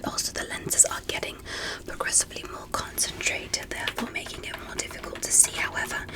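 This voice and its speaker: A woman whispering in an ASMR medical roleplay as a curious, polite alien doctor, speaking gently and deliberately with soft amazement. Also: rough recording. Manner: gentle, deliberate, repetitive, softly amazed at small things. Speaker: ASMR performer playing an examiner.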